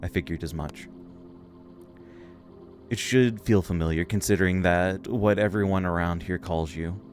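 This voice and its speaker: A man voicing a calm, slightly condescending magical talking crystal in a video game, with music behind. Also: low-pitched, moderate pace, natural voice. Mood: composed. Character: talking floating crystal, calm knowing witch's servant. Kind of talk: reading game dialogue aloud.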